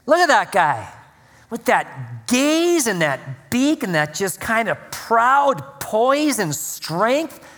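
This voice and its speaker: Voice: medium pitch, fairly bright tone, long reverb tail. A pastor preaching a sermon in a church, praying and reading scripture earnestly. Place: church sanctuary.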